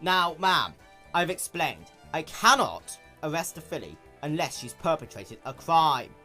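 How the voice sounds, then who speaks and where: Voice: mid-range voice, big pitch swings, sharp tone, soft background music, pitched above the performer's own voice, heavily acted. A performer voicing a professional male police stallion, formal and firm, with patience running short. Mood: strained patience. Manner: formal, firm, measured officialese.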